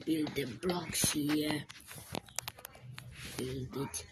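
A woman's voice: low-pitched, fairly even intonation, extremely slow, very noisy. A woman casually commenting on her own building game, often dropping into whispers, recorded on a phone. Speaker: gameplay channel host. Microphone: phone mic.

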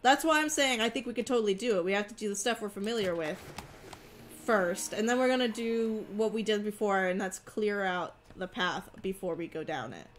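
Woman streamer, headset, analytical co-op gamer, middle pitch. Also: fairly quick, slight background noise. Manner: analytical, calmly guiding a partner. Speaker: streamer playing a co-op horror game. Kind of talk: casual gameplay chat.

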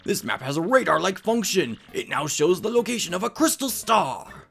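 A man voicing a shriveled old Goomba professor, an excitable, fussy scholar who lectures and exclaims, in a game fan dub. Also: high voice, moderate pace, gravelly, slightly rough, voice pushed up, heavily acted. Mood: explaining.

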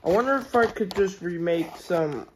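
A toy reviewer giving a casual unboxing review at home, rambling and hesitant with many restarts, excited about the toys, recorded on a handheld phone. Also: medium pitch, moderate pace, background noise.

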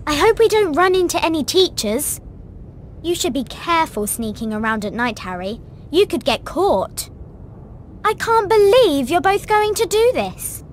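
A prim schoolgirl witch in a video game, high and very animated. She is a bossy know-it-all, earnest and scolding, with a faint rumble of noise behind her. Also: a little slow, exaggerated voice. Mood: worried.

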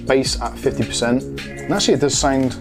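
Guy in his 40s reviewing gear at his desk, medium pitch, explains stuff plainly, bit of music. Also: fairly quick. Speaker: tech product reviewer. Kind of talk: casual product review and demonstration. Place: small home studio room.

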